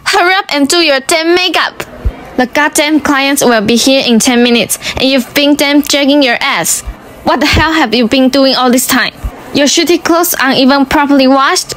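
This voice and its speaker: A woman's voice: high-pitched, fairly bright tone. A woman acting a callous, foul-mouthed brothel madam in a student radio drama, scolding with impatient contempt.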